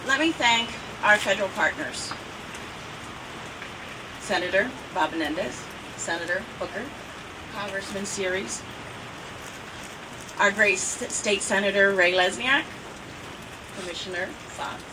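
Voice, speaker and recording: An American woman giving an upbeat, conversational public speech as a state politician. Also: mid-range voice. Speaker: state assemblywoman. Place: outdoors in rainy cold weather. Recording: distant mic, outdoor rain noise.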